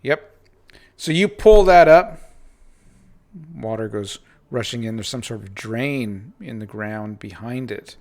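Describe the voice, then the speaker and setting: A man narrating a tabletop game as game master, improvising in halting phrases with pauses mid-sentence. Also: mid-range voice, animated, darkish tone.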